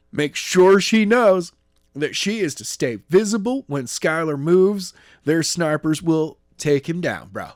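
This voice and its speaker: A swaggering bro-talking villain in a tie, as a male reader puts him on, with a pitched-up, loud, slow drawl. He sounds smug and sure of himself. The sound is dry and close. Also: no echo, full character voice. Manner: laid-back slangy drawl, pitched up. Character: brash surfer-talking man in a tie, always saying bro. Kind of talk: audiobook reading of a novel.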